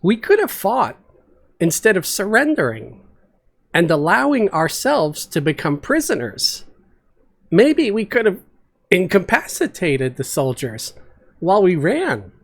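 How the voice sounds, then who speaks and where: A man playing a loud, sardonic, hot-tempered adventurer in a fantasy story, speaking with indignant emphasis. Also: medium pitch, very animated, unhurried, pitched above the performer's own voice. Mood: angry.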